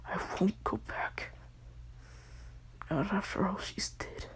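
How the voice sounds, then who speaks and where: A woman voicing an anguished young male hero, bitter and weeping, in a soft whisper. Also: mid-range voice, takes it slowly, close to an everyday voice. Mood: tearful. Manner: whispered, quiet delivery.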